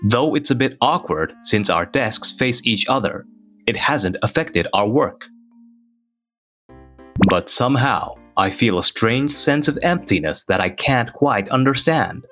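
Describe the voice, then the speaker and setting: A man's voice: mid-range voice, animated, measured pace, bright, forceful tone, faint music behind. A man playing a reserved, self-doubting young office worker who narrates his own romance in a scripted manga dub. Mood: pensive, regretful.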